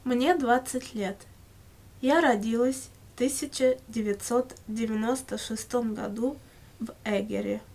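A woman reading scripted answers for a language lesson in measured, complete sentences. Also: fairly high pitch, darkish tone. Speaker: language-lesson model speaker answering questions. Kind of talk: scripted language-lesson dialogue, read aloud.

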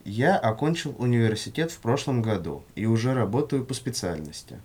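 A man reading scripted questions and answers for a language lesson in a measured way. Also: medium pitch, animated, a little mellow, breathy edge. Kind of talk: scripted language-lesson dialogue, read aloud.

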